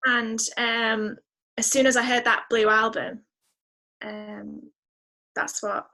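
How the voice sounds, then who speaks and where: A woman's voice: a little high, flat delivery, slow pace, gravelly, pushed and bright. A woman talking casually as an interview guest, reflective and enthusiastic about music. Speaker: guest singer-songwriter.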